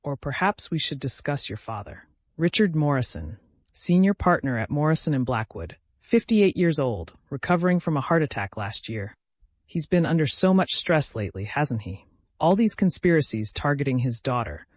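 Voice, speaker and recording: A menacing woman schemer, voiced by a male narrator in an audiobook thriller. The delivery is cold and measured, with taunting swings of pitch and a dry, close sound. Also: sing-song, dry recording. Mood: cold threatening. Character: menacing female villain, cold taunting strategist.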